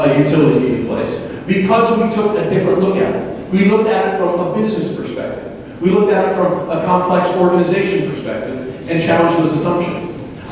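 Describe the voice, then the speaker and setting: A man speaking as a city council candidate in a debate, measured and businesslike, with a few hesitations. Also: on the high side, a little mellow, slight background noise. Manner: measured, businesslike, some "uh" fillers. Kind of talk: debate answer. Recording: echoey hall, distant mic.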